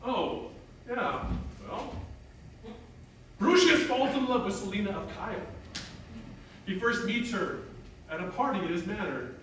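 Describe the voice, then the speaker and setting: Eager high-voiced playwright, echoey room. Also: male voice, animated, measured pace, long pauses, fairly bright tone. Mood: earnest. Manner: rambling, earnest, defensive. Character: eager male playwright William pitching his earnest tragic play. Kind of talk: theatrical comic dialogue. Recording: roomy echo, distant mic, faint noise.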